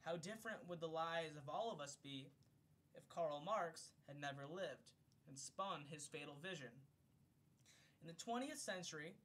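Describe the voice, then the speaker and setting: Higher-pitched, slightly drunk man reading aloud. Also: expressive, normal speed, fairly bright tone. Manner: declamatory when reading, loose and profane in asides. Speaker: amateur reader of a bedtime recording for a friend. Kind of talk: reading an economics text aloud, with casual asides. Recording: homemade recording with low rumbling noise.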